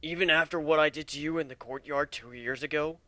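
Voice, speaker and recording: Man as a stern pony officer, raised bright voice. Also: on the high side, brisk, no echo, voice pushed up. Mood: stern, accusing. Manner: flat, formal.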